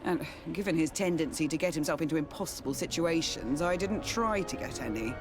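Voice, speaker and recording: A woman's voice: low voice, animated, fairly quick. An actress playing a curt, sardonic businesswoman in a video game, with a brusque manner and dry sarcasm. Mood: sceptical, resigned. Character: curt, sardonic businesswoman, weary of her partner. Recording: game music under the voice. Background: music.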